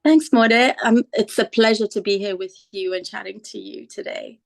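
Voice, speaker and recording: A woman's voice: medium pitch, normal speed, vocal fry. A woman from Tanzania, a guest on a podcast, speaking thoughtfully and easily. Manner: thoughtful, relaxed, with fillers. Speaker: guest, entertainment lawyer. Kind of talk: conversational interview.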